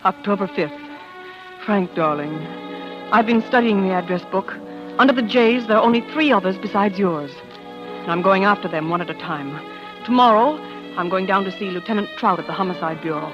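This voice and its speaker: An actress playing an earnest, determined wife in a 1940s radio drama, with a dramatic delivery that is quick to bristle. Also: female voice, mid-range voice, music in the background, natural voice. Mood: devoted, yearning. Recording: dull, lo-fi vintage radio recording.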